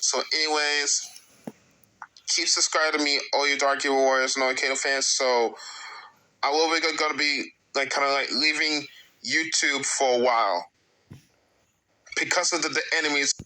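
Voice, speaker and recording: A man around thirty talking to his own channel's viewers, with halting speech, broken grammar and lots of repeats. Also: mid-range voice, fairly even intonation, sharp tone, poor audio. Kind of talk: speaking to camera in his own video.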